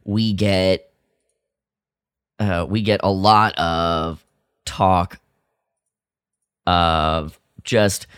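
A man with a low, smooth voice talks as a podcast co-host in a casual, jokey way. He takes his time, sounding a bit exasperated as he recaps a story. The sound is close and dry. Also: flat delivery, extremely slow, pauses often, a bit bright, no echo. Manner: exasperated, jokey, mimics characters' lines. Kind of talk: casual chat, recapping and critiquing a radio drama.

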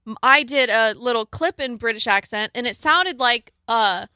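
A woman's voice: a little high, moderate pace, sharp tone, clear, resonant tone, no room sound, studio quality. A tipsy woman in her twenties chatting and joking on a podcast, giggling often. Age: 20s. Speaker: podcast guest. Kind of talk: casual podcast chat.

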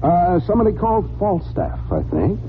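A man's voice: high-pitched, huge pitch swings, normal speed. An actor playing a flippant, flirtatious detective's legman in 1940s radio style, with wry patter. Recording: tinny, noisy old radio recording.

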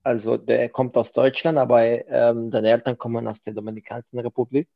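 A man's voice: mid-range voice, level tone, brisk, creaky. A man whose first language is Spanish, a podcast guest chatting loosely in German, with lots of fillers. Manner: loose, filler-heavy, says "weißt du" often. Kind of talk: casual interview chat. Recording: tinny phone-like line.

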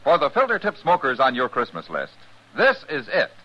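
A man's voice: medium pitch, wildly swooping pitch, moderate pace, lo-fi sound. A man announcing a commercial in emphatic 1950s radio advertising style. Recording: vintage radio ad, band-limited.

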